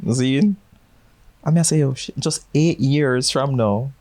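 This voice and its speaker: A Jamaican man in his thirties chatting easily as a podcast co-host. His voice sits at an ordinary middle pitch. He drifts between patois and standard English and laughs a lot. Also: a little slow, a little mellow. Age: 30s. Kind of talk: casual chat.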